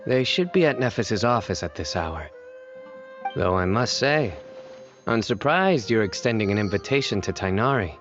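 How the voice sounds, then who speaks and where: A man playing a stern, composed law officer in a video game, speaking formally and in a measured, deadpan way. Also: lowish voice, highs cut off, soft background music. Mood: matter-of-fact.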